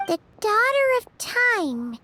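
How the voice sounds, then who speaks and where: A woman voicing a tiny, excitable fairy companion in a video game, chirpy and childlike. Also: takes it slowly, over music, exaggerated voice. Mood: puzzled. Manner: squeaky, expressive, refers to herself by name. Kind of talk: game voice acting.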